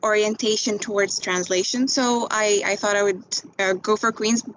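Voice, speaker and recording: A woman's voice: medium pitch, steady tone, normal speed, fairly bright tone, slight background noise, poor audio. A young woman from Tunisia, a recent graduate, talking informally on a webinar with many fillers and restarts. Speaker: graduate speaking to prospective students. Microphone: video call.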